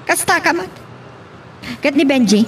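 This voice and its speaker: A woman's voice: sits high, expressive, a little slow, a little hiss or hum. A woman with a Filipino accent playing a suspicious, scheming woman in a radio drama. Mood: suspicious, musing. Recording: prominent music under the voice.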